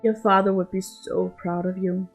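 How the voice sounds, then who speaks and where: A woman's voice: medium pitch, level tone, moderate pace, creaky. A genteel countess, played by a woman narrator from a period storybook. She is a proud, shrewd mother with a gracious manner. Faint music sits behind her. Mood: doting.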